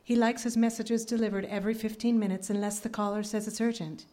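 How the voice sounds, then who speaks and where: A woman playing a pragmatic, wry, no-nonsense office manager in a live radio drama, deadpan and instructive. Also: mid-range voice, fast talker, close to an everyday voice. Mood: brisk instructing. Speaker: actor.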